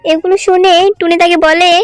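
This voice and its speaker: Very high-pitched woman narrating a story. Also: flat delivery, fairly quick, no room sound, faint music behind. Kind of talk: scripted children's cartoon story narration.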